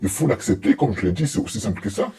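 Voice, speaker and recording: Deep-voiced, mellow man giving blunt reaction commentary. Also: huge pitch swings, quick pace. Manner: blunt, dismissive, exasperated, colloquial. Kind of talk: informal opinionated reaction commentary.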